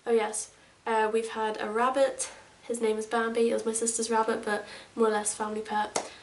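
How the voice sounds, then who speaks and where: A woman's voice: on the high side, little pitch movement, moderate pace, a little hiss or hum. A woman in her twenties from England, chatting casually to camera as a vlogger, rambling with lots of fillers. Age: 20s. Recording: echoey room, mic at a distance.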